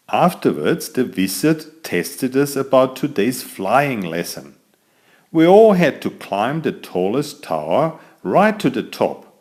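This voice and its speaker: A male author narrates his own children's bedtime story. His pace is unhurried, and his pitch rises and falls expressively as he reads. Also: a little mellow.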